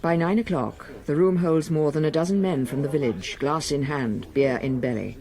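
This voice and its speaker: A man giving measured, scripted literary narration as storyteller of a period radio drama. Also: fairly high pitch, darkish tone, natural voice. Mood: measured, evocative.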